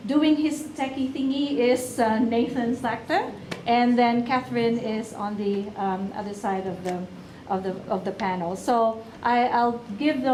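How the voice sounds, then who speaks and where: A woman's voice: a little high, darkish tone. A woman organizer giving an informal introduction of speakers at a live conference. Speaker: event organizer introducing the panel. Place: conference room, live event. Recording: echoey room with faint noise.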